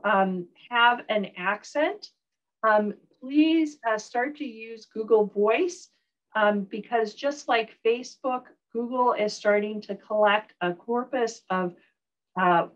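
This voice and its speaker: A woman professor with a Southern US accent giving a recorded online lecture, relaxed, with frequent ums and uhs. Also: a little high, slow, dull audio.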